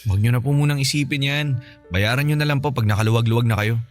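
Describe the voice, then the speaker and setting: A man's voice: medium pitch, fast talker, soft background music, close to an everyday voice. An actor playing a polite, generous young man with a Filipino accent in a radio drama. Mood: helpful, respectful. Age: young adult. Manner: respectful, considerate. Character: Wawi, polite, generous young working nephew.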